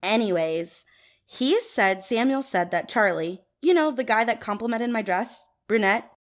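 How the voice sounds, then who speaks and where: A woman's voice: on the high side, animated, unhurried. A woman playing a gossipy, sassy, accusing wedding guest in an audio drama.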